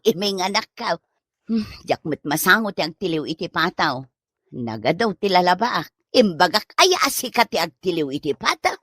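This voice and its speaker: A woman with an Ilocano accent playing a wife and mother in a village comedy drama, speaking in an expressive, colloquial way. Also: mid-range voice, husky, no room sound, clean studio sound.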